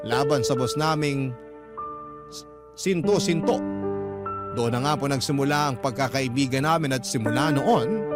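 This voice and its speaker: A man with a Filipino accent narrating a scripted first-person story as a radio drama host, reading out a listener's letter. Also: on the high side. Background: prominent music.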